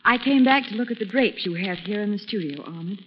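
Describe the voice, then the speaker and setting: A woman's voice: medium pitch, expressive, a little fast, over loud music, close to an everyday voice. An actress playing a plucky, polite young woman sleuth in a 1940s radio drama. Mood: politely casual. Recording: muffled, hissy old radio recording, reverberant.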